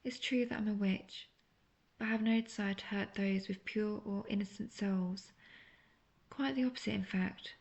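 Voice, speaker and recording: A woman playing a seductive, manipulative, obsessive witch in an intimate audio roleplay, coaxing and teasing the listener with soft talk that slips into near-whispers. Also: mid-range voice, steady tone, moderate pace, gravelly, highs cut off, lightly acted. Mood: calm, persuasive.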